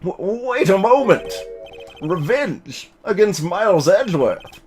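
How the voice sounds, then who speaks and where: A man's voice: high-pitched, big pitch swings, slow pace, darkish tone, soft background music, exaggerated voice. A man voicing an earnest, easily startled young defense lawyer in a video game, speaking in a questioning way.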